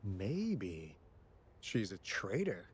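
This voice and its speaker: An actor playing a brisk, wary field agent in a video game. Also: male voice, sits low, huge pitch swings, full character voice. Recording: clear background ambience under game dialogue.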